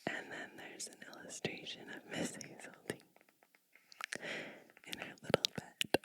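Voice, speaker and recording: Very slow, whispering woman doing ASMR. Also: low-quality recording. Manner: hushed, unhurried, pausing between short phrases. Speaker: ASMR host reading children's storybooks. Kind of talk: whispered ASMR, introducing a storybook.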